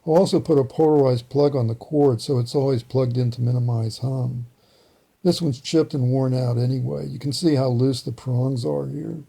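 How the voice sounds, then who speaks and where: Male hobbyist presenter, husky and low-key in tone, methodical hands-on explainer. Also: fairly even intonation, moderate pace, warm tone. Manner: methodical, matter-of-fact. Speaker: hobbyist presenter demonstrating radio repair.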